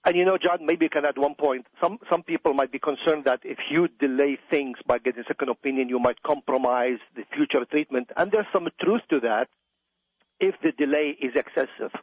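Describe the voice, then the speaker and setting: A man's voice: fairly high pitch, fairly quick, no echo. Radio interview guest: emphatic middle-aged man, Iraqi accent, doctor, repeats himself for stress, dry and slightly muffled sound. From Iraq. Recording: dull, dry radio audio.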